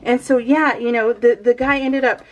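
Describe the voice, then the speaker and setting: A woman's voice: a little high, fairly even intonation, normal speed, poor audio. A middle-aged American woman chatting casually as a vlogger, telling personal stories in a rambling, emphatic way with exasperated asides.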